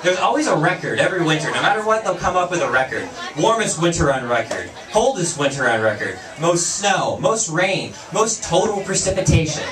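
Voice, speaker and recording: A man's voice: a little high, sing-song. Young man doing stand-up in a bar. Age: young adult. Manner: casual observational delivery with quick voiced asides. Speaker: stand-up comedian. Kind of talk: stand-up comedy set. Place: small bar stage with audience. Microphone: handheld mic, far camera. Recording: echoey bar from far off, crowd laughter.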